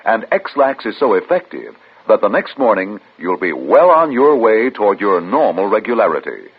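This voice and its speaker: Male commercial announcer, vintage radio, persuasive, lively intonation, rough-edged voice, tinny. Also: lowish voice, moderate pace. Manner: reassuring, persuasive delivery. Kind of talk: vintage radio advertisement, scripted. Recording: tinny old radio broadcast recording.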